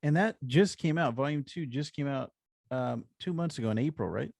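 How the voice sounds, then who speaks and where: A man's voice: medium pitch, moderate pace, vocal fry, a little mellow, no echo, studio quality. A man hosting a casual comics podcast with an upbeat, encouraging way of talking. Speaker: podcast host.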